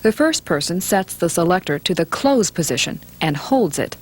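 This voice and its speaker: A woman reading scripted instructional narration for a training film in a measured, matter-of-fact way. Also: lowish voice, big pitch swings, husky.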